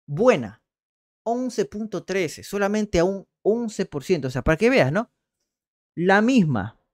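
A man's voice: sits high, expressive, slow pace. A young man, doing casual and sarcastic political commentary on a livestream, reading out poll figures and greeting viewers over a headset mic. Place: small room indoors.

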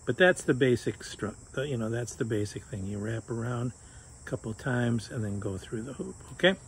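An older man talks through a fly fishing knot in a relaxed, joking way, chatting as his hands work. His voice sits at an ordinary middle pitch. He is outdoors on a patio, close to the camera, with faint crickets and insects behind him. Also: normal speed, slight background noise, rough recording. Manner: chatty and joking, talks while tying knots. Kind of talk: casual how-to demonstration. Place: outdoors on a sunny patio by a fence.

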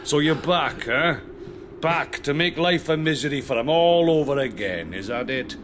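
A man's voice: fairly high pitch, animated, moderate pace, close to an everyday voice. An actor playing an indignant, self-righteous British male lodger in a radio drama. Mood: disgusted, hostile. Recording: radio drama with noisy background.

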